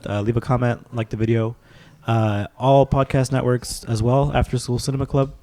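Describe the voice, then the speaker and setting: A man's voice: medium pitch, flat delivery, normal speed, dark tone. A man bantering casually on a podcast in short, teasing remarks. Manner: teasing, terse. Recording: clear background noise.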